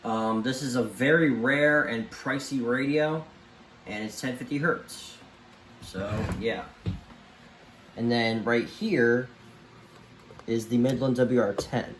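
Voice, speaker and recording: A man giving a casual, unscripted show-and-tell, speaking haltingly and trailing off at the ends of his thoughts. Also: mid-range voice, a bit bright, reverberant, poor audio. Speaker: collector presenting a home video tour. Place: room at home among many radios. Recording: clear background noise and room ambience. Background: weather radio broadcast playing.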